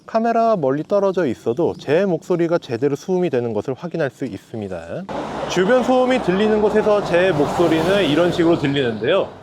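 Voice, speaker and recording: A man's voice: a little high, sing-song, fairly quick, noisy background. A man who hosts reviews, reciting a scripted test narration into an action camera. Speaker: tech review channel host.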